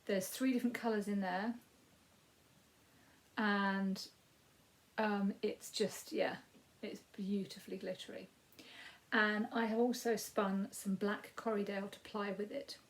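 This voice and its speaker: A woman in her fifties with a Yorkshire accent and a croaky voice, giving a rambling, casual crafting update with frequent ums. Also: medium pitch, steady tone, measured pace. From Yorkshire, England. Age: 50s. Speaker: crafting podcast host.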